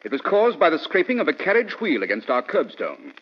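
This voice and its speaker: A self-assured, superior English detective in old-time radio drama. His British voice is husky and widely inflected, with precise, pedantic wording and an unruffled air. The sound is dulled like an old broadcast. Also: a little high, wildly swooping pitch, a little fast, highs cut off, exaggerated voice. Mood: calm, superior. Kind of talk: 1940s radio drama acting.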